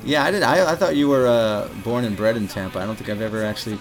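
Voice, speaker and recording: A man's voice: mid-range voice, fast, loud music behind the voice. A man interviewing a bandmate he knows, asking in a casual, familiar way.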